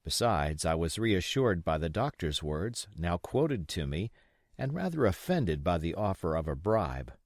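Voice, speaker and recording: A man's voice: deep voice, very animated, measured pace, no room sound, studio quality. A man reading a classic story aloud as scripted literary narration. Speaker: audiobook reader.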